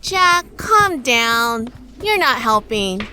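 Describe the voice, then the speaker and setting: A woman's voice: on the high side, sing-song, takes it slowly, pushed and bright, a little hiss or hum, heavily acted. A woman acting as a bossy, exasperated crime-solving partner in a noir audio drama, scolding and no-nonsense. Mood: scolding, urgent. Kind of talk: noir radio-play dialogue and narration.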